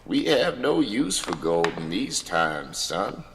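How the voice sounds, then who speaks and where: A man plays a wary backwoods father in an audio comedy drama. His voice is lowish and slow, with folksy turns of phrase. Long reverb and a little noise surround him. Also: sing-song, heavily acted. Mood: dry, dismissive. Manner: folksy, drawn-out rural phrasing. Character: wary, gruffly practical backwoods father in a wasteland.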